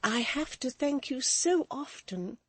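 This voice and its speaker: A woman voicing a timid young lady who speaks softly and soothingly. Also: sits high, expressive, slow pace, no room sound, voice pushed up, exaggerated voice. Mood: gentle. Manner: soft, soothing.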